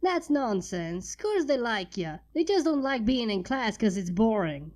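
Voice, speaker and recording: A spirited little farm filly chatters in a high, bouncy voice with folksy clipped words, as a woman plays her. The pitch leaps around with cartoon-like liveliness. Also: very animated, a little slow, darkish tone. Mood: friendly. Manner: folksy, dropped g's. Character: spirited young farm filly pony, friendly.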